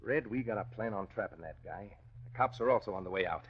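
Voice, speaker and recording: A low-voiced, callous gangster boss schemes in tough slang, in the manner of 1940s radio crime drama. The recording is old and dull. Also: male voice, fast, husky. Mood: urgent scheming.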